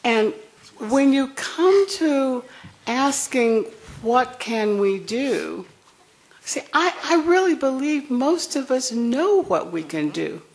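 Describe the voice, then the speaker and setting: An older woman with a soft voice, speaking frankly and wryly on a discussion panel. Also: mid-range voice, creaky. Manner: frank, wry, chuckling asides.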